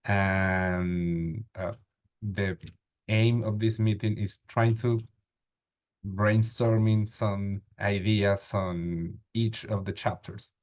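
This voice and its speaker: A man's voice: lowish voice, level tone, extremely slow, darkish tone, highs cut off. A man in his thirties hosting an online meeting, hesitant with many fillers, on a webcam. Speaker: meeting host. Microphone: video call webcam.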